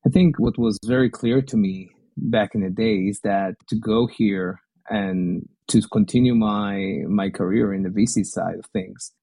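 A man's voice: fairly low pitch, steady tone, unhurried, gravelly, hardly any pauses, dark tone, no echo. A middle-aged Israeli man with an Israeli accent, speaking English as a second language as a guest in a podcast interview. He talks thoughtfully and sometimes repeats words while he searches for the phrasing. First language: Hebrew. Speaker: guest, venture investor.

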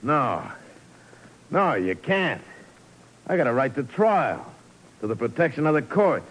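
A man's voice: mid-range voice, sing-song, unhurried, fairly bright tone, poor audio. An actor playing a tough, wary male crime boss with a curt manner in a 1950s radio drama. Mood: cornered.

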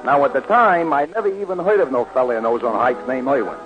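Actor as streetwise New gambler, old radio. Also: male voice, mid-range voice, expressive, fast, natural voice. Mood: wry narrating. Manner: streetwise, ungrammatical, colloquial storytelling. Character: down-on-his-luck wisecracking horse-betting gambler narrating his tale. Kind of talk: 1940s radio comedy acting. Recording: muffled old 1940s radio broadcast, music.

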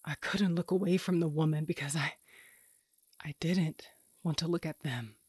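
An actress playing a hardened, self-loathing monster hunter, narrating an audio drama in an intense, profane, confessional way. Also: female voice, low voice, fairly even intonation, moderate pace, a little mellow, breathy edge, no echo, studio quality. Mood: anguished. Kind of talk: first-person audio drama narration with acted dialogue. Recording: music bed behind the voice.

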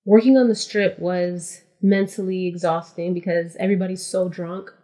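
A woman's voice: on the low side, fairly even intonation, moderate pace, mellow. A woman from California, a podcast guest in casual interview chat, explaining things matter-of-factly and step by step with frequent "like".

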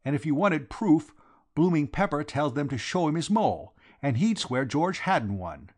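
A man's voice: fairly high pitch, very animated, normal speed, darkish tone, voice pushed up. A man voicing a brash, gloating working-class London man who drops his aitches in a storybook reading. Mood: gloating, accusing.

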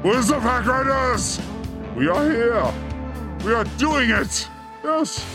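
A man's voice: very high voice, lively intonation, slow, over music. A man hosting a livestream with a rambling, theatrical comic monologue, exclamatory and given to swearing. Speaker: host of a livestream show.

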